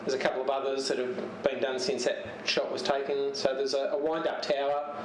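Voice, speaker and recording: A man giving a casual, unscripted show-and-tell as a radio hobbyist, speaking haltingly and searching for words. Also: mid-range voice. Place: home radio shack. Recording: roomy, distant mic with steady noise.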